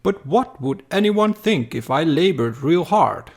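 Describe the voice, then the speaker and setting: A man's voice: a little high, animated, a little slow, creaky, voice pushed up, full character voice. A man voicing a haughty upper-crust idler in a poem, with an affected, snooty manner.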